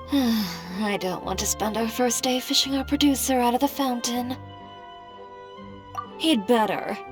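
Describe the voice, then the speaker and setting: A woman's voice: fairly high pitch, slow, long pauses, over music. A woman playing a practical, blunt costume designer in a game, with a dry and direct way of talking. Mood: cool, wry. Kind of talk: game cutscene dialogue.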